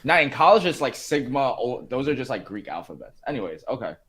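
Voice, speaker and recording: A man's voice: medium pitch, very animated, fairly quick, fairly bright tone, breathy edge. A man co-hosting a casual live chat, playful and chatty, often jumping in with questions. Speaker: co-host.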